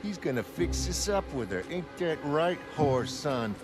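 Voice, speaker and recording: A man's voice: on the high side, lively intonation, a little slow. A man voicing a cruel, jeering older boy who bullies others in a motion comic. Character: mocking, cruel older bully boy taunting. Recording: music behind the voice.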